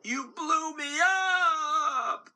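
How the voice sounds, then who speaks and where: A man's voice: extremely slow, bright, forceful tone, heavily acted. A man voicing a naive young male gumball who whines and frets in a raised voice. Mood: distressed. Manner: high, whining complaints.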